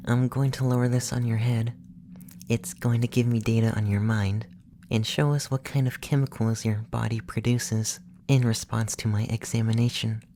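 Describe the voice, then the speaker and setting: A performer acts out an alien examiner in an intimate ASMR roleplay. The voice is dark, relaxed and measured, often dropping to a whisper, with a clinical yet teasing, indulgent manner. It is close to the mic over faint ambient noise. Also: mid-range voice, natural voice.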